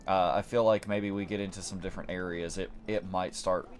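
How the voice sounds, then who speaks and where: Stuffy-nosed low-voiced gaming host. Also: male voice, little pitch movement, fairly quick. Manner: rambling, repeats words as he thinks. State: stuffy nose from allergies. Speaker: let's play host. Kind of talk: casual gameplay commentary.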